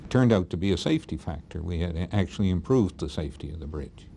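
An older man in a seated interview, talking in a relaxed, reflective way with some fillers. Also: low-pitched, fast talker, vocal fry. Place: indoor interview set.

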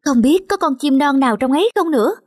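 Woman voicing an anxious cartoon cat, fairly high. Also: expressive, quick pace, darkish tone, no room sound, studio quality. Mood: concerned. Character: male cat, anxious reluctant foster parent to a chick. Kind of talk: cartoon character acting.

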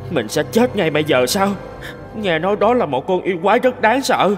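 Timid young servant, honest, stammering, higher-pitched, cartoon dub, music behind. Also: male voice, animated, normal speed. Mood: frightened. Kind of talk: animated cartoon dubbing.

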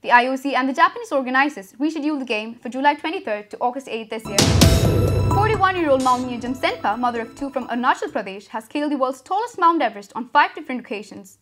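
A young woman reads the news at a quick clip in a high, light voice. Her delivery is brisk and even, like a student anchor working through a script, with soft music playing underneath.

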